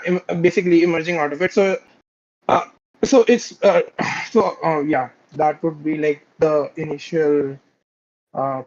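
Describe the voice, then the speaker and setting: High-pitched man, hesitant, lots of fillers, muffled call audio. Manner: hesitant, many fillers and restarts. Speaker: caller. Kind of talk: casual debate call. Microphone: video call. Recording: quiet, dull lo-fi call mic.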